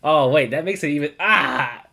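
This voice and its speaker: A woman's voice: deep voice, expressive, moderate pace, vocal fry, pushed and bright, husky. A woman streaming a game with casual, sweary, emotionally reactive commentary, talking back to the game. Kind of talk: casual live playthrough commentary. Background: game music.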